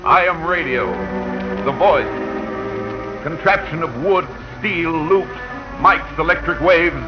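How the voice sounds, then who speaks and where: The personified voice of radio, a solemn and poetic oracle pitched high. He declaims grandly in 1940s pageant style. Loud music plays behind him on a dull old broadcast recording. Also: slow. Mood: grand, proclaiming. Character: Radio itself, a grand oracular male personified voice.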